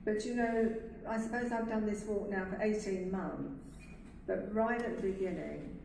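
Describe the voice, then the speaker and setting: A soft-voiced English woman shares her story earnestly, her tone slightly low and thoughtful. She stands away from the mic, so the hall's echo wraps her words, and steady room noise sits underneath. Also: normal speed, creaky. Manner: earnest and reflective, speaks from faith. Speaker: carer speaking at a carers' event. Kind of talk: personal testimony speech. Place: event hall. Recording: echoey hall, distant mic, room noise.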